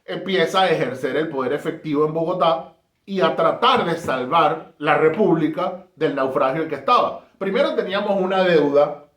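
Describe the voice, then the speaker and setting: A man's voice: on the high side, moderate pace, a bit bright. A man from Caracas with a Venezuelan accent, giving an emphatic, rhetorical talk on history. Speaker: history presenter. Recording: roomy echo.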